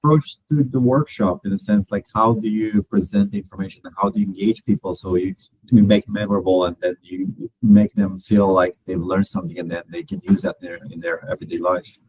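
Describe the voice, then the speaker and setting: A man's voice: fairly low pitch, fairly even intonation, a little fast, darkish tone, husky, no echo, rough recording. A man hosting a podcast who asks the guest long, searching questions. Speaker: podcast host. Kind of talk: interview questions.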